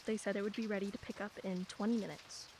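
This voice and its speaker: A woman playing a brisk, cheerful single mother in a radio play. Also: mid-range voice, little pitch movement, darkish tone, slight background noise, close to an everyday voice. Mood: breezy. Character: breezy single mother, a lawyer.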